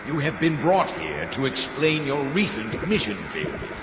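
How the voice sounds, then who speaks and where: An actor playing a cruel, powerful council leader in a science fiction radio drama, condescending and menacing. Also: male voice, medium pitch, very animated, normal speed, close to an everyday voice. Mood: cold authority. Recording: muffled echoing hall, loud music behind.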